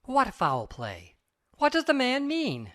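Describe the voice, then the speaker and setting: Gentleman lawyer acted by a man for audiobook drama: sober, good-natured, formal, animated intonation. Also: very high voice, slow, full character voice. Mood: alarmed, irritated.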